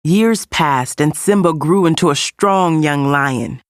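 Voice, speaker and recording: A woman reading a scripted children's read-along story as an expressive storyteller. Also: deep voice, slow, dry recording, studio quality. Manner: expressive storytelling with character voices. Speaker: storybook narrator.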